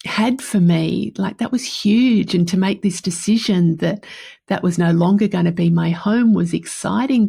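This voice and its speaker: A woman in her fifties with an Australian accent hosts a personal podcast. Her voice is lowish and husky with a gravelly creak. She talks in a candid, chatty way, thinking aloud and repeating words for emphasis. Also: mellow. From country New South Wales, Australia. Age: 50s. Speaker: podcast host. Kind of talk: personal reflective monologue, casual.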